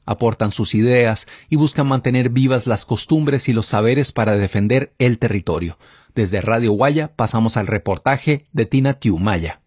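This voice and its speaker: Husky male radio presenter, dry sound. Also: mid-range voice, darkish tone, no room sound. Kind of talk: scripted news intro.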